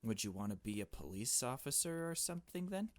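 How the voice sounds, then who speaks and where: A man's voice: mid-range voice, very animated, brisk, dry recording, natural voice. A man voicing a curious, earnest small girl who narrates and asks questions in a visual novel game. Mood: probing. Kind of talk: visual novel dialogue read aloud.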